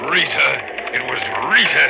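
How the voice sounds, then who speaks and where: Haunted desperate fisherman, gravelly male voice, swooping melodramatic delivery, 1940s radio drama, muffled recording. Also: a little high, lively intonation, moderate pace, noisy background. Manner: intense, melodramatic. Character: guilt-haunted desperate fisherman narrating his own doom. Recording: muffled old radio, loud music behind.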